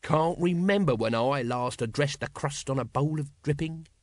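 A man's voice: medium pitch, big pitch swings, normal speed, fairly bright tone, dry recording. A man voicing a scruffy, cheeky watchman, suspicious and cowardly, with colloquial speech, as an audiobook character. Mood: dreamy.

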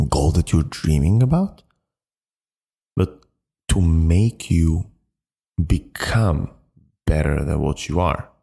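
A man with a deep, mellow voice hosts a motivational podcast on his own. His phrasing is halting and emphatic, often circling back to repeat words. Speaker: podcast host. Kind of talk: motivational solo podcast talk.

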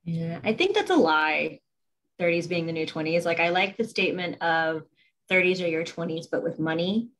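A woman's voice: on the low side, expressive, normal speed, a bit bright. A woman in her thirties chatting as a guest, frank and blunt. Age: 30s. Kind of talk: casual group chat.

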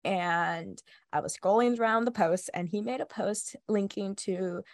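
A young woman co-hosting a podcast, chatting casually with a stuffy nose from a cold, nervous and quick to laugh. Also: mid-range voice, no echo. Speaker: podcast co-host. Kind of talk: casual chat.

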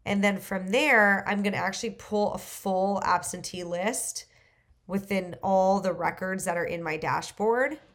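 A woman with a stuffy nose from the flu, casually talking through a software demo and thinking aloud as she clicks. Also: medium pitch, measured pace. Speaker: real estate investor. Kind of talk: screen-recorded software walkthrough.

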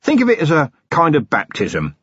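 A man voicing a seasoned, gruff police commander in an audiobook, dry, matter-of-fact and fatherly. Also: a little high, wildly swooping pitch, moderate pace, fairly bright tone, dry recording, dull audio, pitched above the performer's own voice, full character voice. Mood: reassuring.

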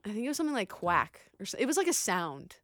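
A woman's voice: mid-range voice, sing-song, quick pace, fairly bright tone, dry recording, clean studio sound. A young woman co-hosting a casual chat, telling a story with insistent, chatty energy. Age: young adult. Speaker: podcast co-host, college student.

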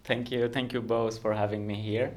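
A man in his thirties from Iran with an Iranian accent, a native Kurdish speaker, talking earnestly and haltingly as a podcast guest who laughs often. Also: fairly low pitch, level tone, a little mellow. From Iran, Kurdistan region. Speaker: guest researcher. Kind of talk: podcast interview.

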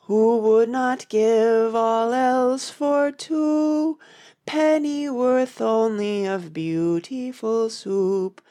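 A woman's voice: on the high side, fairly even intonation, very slow, dark tone. A woman playing a doleful, sentimental Mock Turtle with a ponderous, mournful delivery in an audiobook. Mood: mournful singing. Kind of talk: full-cast audiobook character acting.

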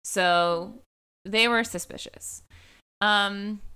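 A woman's voice: mid-range voice, steady tone, drawn-out words, pushed and bright, smooth. A young woman hosting a true-crime podcast, telling a case in a casual chatty way, full of "like" and "um" fillers. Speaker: podcast host.

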